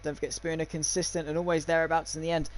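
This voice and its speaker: Young male esports race commentator, high-pitched voice, informal streamer delivery, faint noise behind. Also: little pitch movement, fast. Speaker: sim racing commentator and streamer. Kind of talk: live esports race commentary with casual chat.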